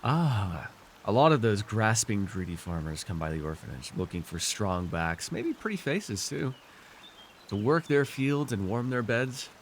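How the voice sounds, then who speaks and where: A man's voice: fairly low pitch, very animated, measured pace, slight background noise. A man playing an arrogant, seductive fantasy warlord in an intimate roleplay, teasing and theatrical as he speaks to one listener. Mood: wary, haughty. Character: arrogant, seductive male fantasy villain warlord, dream-wielding conqueror. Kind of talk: immersive ASMR fantasy roleplay acting.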